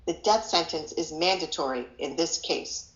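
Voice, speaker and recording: A woman's voice: low-pitched, close to an everyday voice. Woman reading as a solemn judge, echoey room. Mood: grave, solemn. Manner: formal, measured. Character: grave, solemn male judge instructing a jury. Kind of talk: scripted play reading. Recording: echoey room, dull lo-fi audio.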